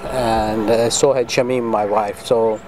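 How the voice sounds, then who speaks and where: A middle-aged man from Tanzania giving reflective interview answers in a halting, deliberate, earnest way. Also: lowish voice, level tone, background noise. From Tanzania, East Africa. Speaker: interviewee, pediatrician and medical association president.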